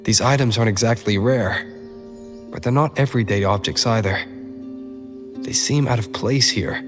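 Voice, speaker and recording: A man playing a calm, loyal, observant companion in a game, speaking steadily. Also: lowish voice, normal speed, lightly acted. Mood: calm, supportive. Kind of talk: dramatic game dialogue. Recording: reverberant hall, prominent music underneath. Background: music.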